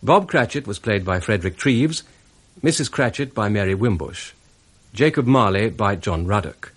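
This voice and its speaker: A British male announcer reads in a formal, scripted style. His voice is lowish and husky, with lively rises and falls. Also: normal speed. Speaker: radio announcer.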